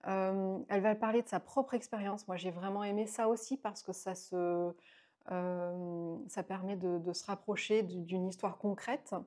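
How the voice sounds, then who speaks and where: A woman's voice: mid-range voice, fairly even intonation, normal speed, dark tone. A woman in her late thirties reviewing books to camera at home, chatty, with many fillers and restarts, on a lapel mic. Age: 30s. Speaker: book vlogger. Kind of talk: casual book review to camera. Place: small indoor room at home.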